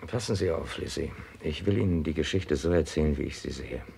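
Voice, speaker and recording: Deep, gravelly police inspector. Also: male voice, fairly quick, mellow, a little hiss or hum. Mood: measured, persuasive. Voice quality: gravelly, husky. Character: calm, methodical, persuasive male police inspector. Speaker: actor in a crime radio play. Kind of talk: mid-century German crime radio drama acting.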